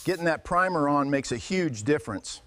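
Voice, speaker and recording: A man's voice: a little high, expressive, moderate pace, a bit bright, slight background noise. A man hosting a restoration video, giving hands-on workshop commentary.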